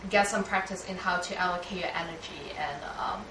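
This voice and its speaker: A woman's voice: lowish voice, brisk. Young female student from Hong Kong, thoughtful and filler-heavy; roomy, noisy recording. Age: young adult. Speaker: student panelist. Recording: roomy, distant mic with background noise.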